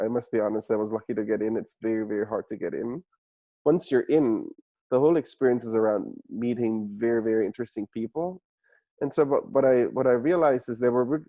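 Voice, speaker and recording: A German-accented man speaks in a mellow, dark voice, earnest and reflective as he talks through his story. He often restarts his phrases. The sound is dry and close, with no room around it. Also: mid-range voice, no room sound, low-quality recording. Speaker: guest entrepreneur. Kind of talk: conversational interview answers.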